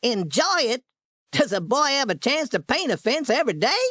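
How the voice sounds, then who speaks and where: A man voicing a clever, scheming young boy in a storybook reading, with a high, coaxing boyish voice. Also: sing-song, normal speed, bright, forceful tone, no echo, clean studio sound, heavily acted. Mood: sly.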